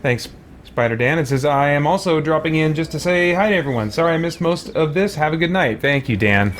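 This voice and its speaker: A man's voice: on the high side, animated, fairly quick, slight background noise. A man chatting through a live game stream, reading aloud and reacting as he plays. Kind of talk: live roleplay gaming commentary with chat shout-outs.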